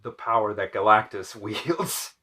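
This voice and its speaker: A man's voice: lowish voice, a little slow, bright, forceful tone. A man in a casual chat about films and comics, explaining details like a knowledgeable fan. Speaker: co-host.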